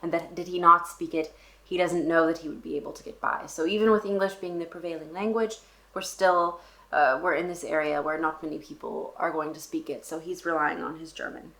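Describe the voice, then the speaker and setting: A woman's voice: low voice, steady tone, a little fast, pushed and bright. Woman reading a classic with wry asides. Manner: casual, wry asides to the characters; frequent fillers and restarts, thinking aloud. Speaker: book channel host. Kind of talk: reading a novel aloud with commentary.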